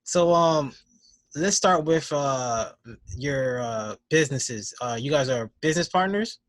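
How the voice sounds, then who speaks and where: Brief: higher-pitched male host, relaxed questioner, close dry audio. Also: measured pace, no echo. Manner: easygoing, loose questioning. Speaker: podcast host. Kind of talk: casual interview chat.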